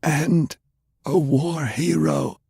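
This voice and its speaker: A man voicing a stern, ailing old male war leader in an audiobook, with a strained gravelly voice and slow, emphatic delivery. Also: a little high, fairly even intonation, warm tone, no room sound, studio quality, pitched above the performer's own voice, full character voice. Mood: scoffing. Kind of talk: audiobook character acting.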